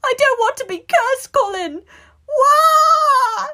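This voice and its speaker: A woman voicing an innocent, excitable young schoolboy in a squeaky, wailing voice for a story reading. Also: measured pace, full character voice. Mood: panicked wailing. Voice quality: high-pitched, squeaky, wailing.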